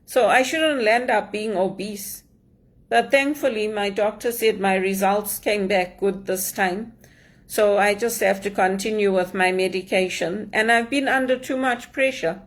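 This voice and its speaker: A woman's voice: mid-range voice, a little slow. A woman from Zimbabwe in an earnest personal monologue, aggrieved, repeating phrases for emphasis. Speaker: immigrant sharing her story.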